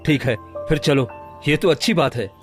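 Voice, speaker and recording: A man playing a gentle, regretful village husband in a cartoon moral story, speaking plainly and earnestly. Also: on the high side, moderate pace, faint music behind, natural voice. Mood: agreeable. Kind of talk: animated moral story dialogue.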